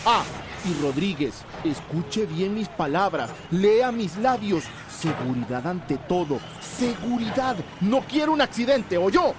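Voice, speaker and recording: An actor playing a stern, urgent boss of a work crew in a radio drama. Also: male voice, sits high, expressive, slow pace. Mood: commanding. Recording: noisy outdoor vehicle ambience.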